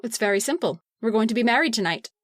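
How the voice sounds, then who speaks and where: A woman acting a firm, coolly formal sister in a radio drama, composed and measured. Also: on the high side, lively intonation, close to an everyday voice.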